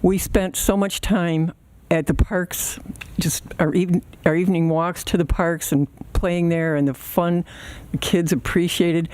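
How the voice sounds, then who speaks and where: An older woman, in her sixties or older, with a soft voice, speaking haltingly and informally at a public meeting. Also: fairly low pitch, fairly even intonation. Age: 60s or older. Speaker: resident giving public comment.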